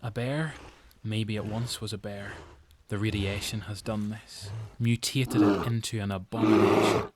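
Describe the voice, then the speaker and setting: A man with a Northern Irish accent reading scripted, suspenseful dramatic story narration in deliberate phrases. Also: on the low side, fairly even intonation, very noisy. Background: music.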